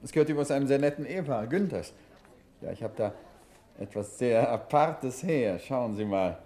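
A cool-headed gentleman detective from an old radio drama, his voice rising and falling with lively, playful turns. He teases dryly and gives little away, keeping his tone understated. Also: mid-range voice, moderate pace. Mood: probing. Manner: dry, teasing, understated. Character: wry, calm adult male detective, secretive. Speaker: amateur detective. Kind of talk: 1950s radio play acting.

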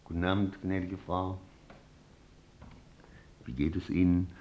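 Slow, low-voiced suave man. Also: flat delivery, dull audio. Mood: polite. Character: suave, submissive male stage actor visiting his lover. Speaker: actor in a radio drama. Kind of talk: intimate radio drama acting.

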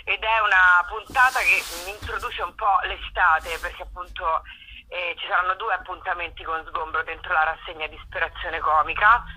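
A woman in a casual interview, speaking in a rambling, halting way with self-deprecating irony, as a comic performer. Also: fairly low pitch, steady tone, bright, forceful tone, slightly rough, poor audio. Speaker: comic actress and writer, interview guest.

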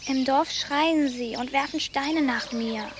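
High, childlike, fearful girl. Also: slow, noisy background. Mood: frightened. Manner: childlike, pleading. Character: confused, fearful, stubborn girl with childlike wishes. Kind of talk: radio drama acting. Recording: studio radio drama with music underneath.

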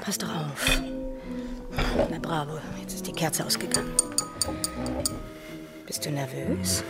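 A cool, sceptical wife in a radio drama. Her voice is on the low side, dry and wry, and she sounds unimpressed over loud music. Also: expressive, slow pace, heavily acted.

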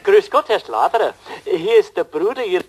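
A man's voice: high voice, big pitch swings, moderate pace, background noise. An actor playing a chatty, muddled, oblivious man on the telephone, rambling and interrupting himself in a comic German radio play. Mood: eager, rambling. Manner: rambling, hesitant, self-interrupting.

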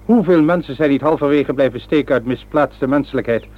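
An actor playing a ruthless upper-class villain in a Dutch radio play, commanding and coolly deliberate. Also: male voice, mid-range voice, natural voice. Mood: cold menace. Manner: imperious, self-justifying, coolly deliberate. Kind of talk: Dutch radio drama acting. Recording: muffled old radio play recording.

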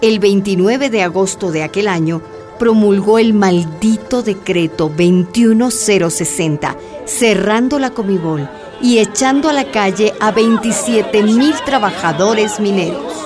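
A woman's voice: mid-range voice, a little slow, a crowd in the background. A woman narrator reading a scripted radio drama. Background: music.

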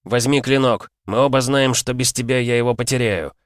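A man voicing a loyal, defiant young male ship officer in an audiobook, with emphatic, strained shouts. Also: medium pitch, fairly even intonation, fairly quick, dry recording, clean studio sound, pitched above the performer's own voice, natural voice. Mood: urgent, pleading. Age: young adult. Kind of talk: dramatic audiobook character voice.

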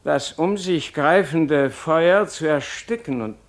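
A man's voice: on the high side, takes it slowly. An actor playing a stern, pious, authoritarian king and father in a classical radio drama, with a solemn, declamatory and commanding manner. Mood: grim resolve.

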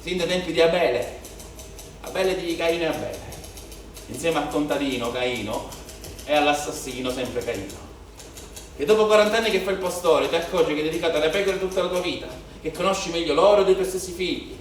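In a very echoey theatre, picked up from a distance, a male actor plays a brooding, bitter policeman, son of a shepherd. His voice is on the high side for a man. He declaims his stage monologue with rhetorical repetition and weighty pauses. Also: natural voice. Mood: earnest, bitter. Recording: very echoey theatre, distant mic, faint noise.

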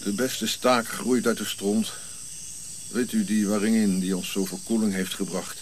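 A man acts out an old prince in a radio play, grumpy and sardonic, in a low voice. He talks curtly with dry irony over clear hiss and insect-like night noise. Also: fairly even intonation, measured pace, natural voice. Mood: wry, reflective. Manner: curt, irritable, dry irony. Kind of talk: radio drama acting.

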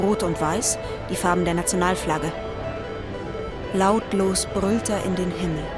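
A woman delivering scripted narration as the narrator of a German radio drama. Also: fairly low pitch. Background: music.

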